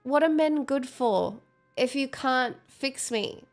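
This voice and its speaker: A woman's voice: high-pitched, slow, faint music behind. A young adult woman streaming, chatting casually with viewers, stuffed up with a cold and giggly, self-mocking.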